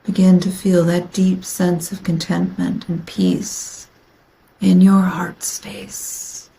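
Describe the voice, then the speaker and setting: A woman guiding a yoga nidra meditation in a soothing, unhurried manner with long pauses, her phrases trailing into breathiness. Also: on the low side, level tone, dark tone, poor audio. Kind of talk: guided meditation.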